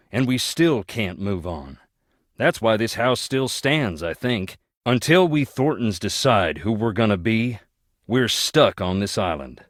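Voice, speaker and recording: A man's voice: on the low side, no echo, studio quality. An actor playing a brooding, plain-spoken man from a rich, troubled old family, talking in a folksy, wry and bitter way in a video game. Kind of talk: video game character dialogue.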